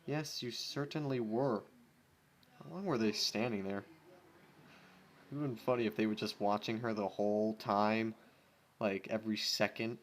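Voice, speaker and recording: A man's voice: lowish voice, fairly even intonation, normal speed, pauses often, a little hiss or hum. Exasperated man reading fan fiction. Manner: exasperated, sarcastic. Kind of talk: casual story reading with ranting asides.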